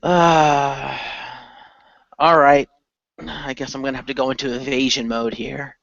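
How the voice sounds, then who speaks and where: A man's voice: mid-range voice, flat delivery, measured pace, vocal fry, a bit bright, dry recording, highs cut off. A man playing a cautious, reluctant young hero with casual slangy talk in an amateur radio play. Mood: wary, casual.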